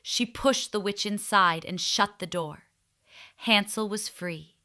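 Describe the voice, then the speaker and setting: Slow, bright-voiced woman telling a fairy tale. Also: mid-range voice. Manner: expressive, deliberate storytelling delivery. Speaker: storyteller. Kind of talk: scripted children's fairy tale narration.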